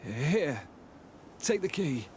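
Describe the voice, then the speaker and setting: A man voicing a shaken soldier, a lone survivor in a video game, halting and stammering as he talks. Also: a little high, big pitch swings, heavy background noise, heavily acted. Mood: weak, halting. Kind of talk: video game cutscene acting.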